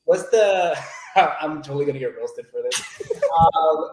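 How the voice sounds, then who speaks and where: A man's voice: on the high side, huge pitch swings, measured pace, noisy background. Chatty man with an echoey sound. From Miami, Florida. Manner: chatty, laughs easily, storytelling. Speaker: guest, loan originator. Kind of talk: casual video chat interview. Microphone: video call.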